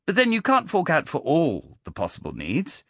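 A man's voice: a little high, huge pitch swings, moderate pace, a bit bright, husky, dry recording, studio quality, voice pushed up, full character voice. A man voicing a precocious boy with a curiously precise treble, innocent and probing in manner. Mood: innocently needling.

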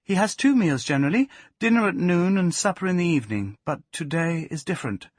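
A man voicing an earnest young English woman, brisk and emphatic yet tender, in a storybook reading. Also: sits high, expressive, dry recording, studio quality, pitched above the performer's own voice. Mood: brisk, practical.

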